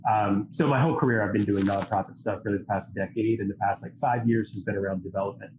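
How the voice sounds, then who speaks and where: A man with a fairly low voice speaks as a guest, sounding stuffy from a cold. He talks earnestly and at length, leaning on fillers as he thinks. The audio is dull and lo-fi with a faint hiss underneath. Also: steady tone, fast. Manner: earnest, rambling, filler-heavy. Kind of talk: casual chat.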